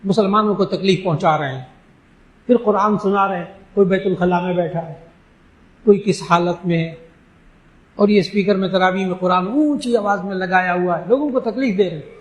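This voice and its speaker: A man from Pakistan, an Islamic scholar, giving an emphatic religious talk that answers questions and slowing down to stress key phrases. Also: high-pitched, lo-fi sound. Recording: echoey room.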